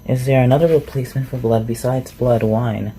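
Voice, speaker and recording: Fantasy ASMR roleplay: performer as reclusive shapeshifter, chatty, curious, socially awkward, mid-pitched, clearly noisy home recording. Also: steady tone, fairly quick, mellow, natural voice. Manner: inquisitive, hesitant, rambling questions. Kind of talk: roleplay ASMR audio acting.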